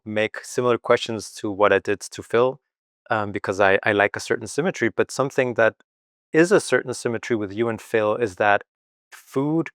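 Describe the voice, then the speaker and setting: A man's voice: mid-range voice, fairly bright tone, clean tone, dry recording, studio quality. A man from Venezuela hosting a podcast, asking loose rambling questions full of "like" and "you know". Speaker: podcast host. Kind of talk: casual interview questions.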